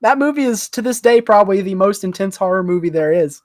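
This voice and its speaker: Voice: medium pitch, animated, quick pace, a little mellow, no echo, studio quality. A person chatting casually on a group podcast, telling things in a slangy, joking way. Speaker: podcast panelist.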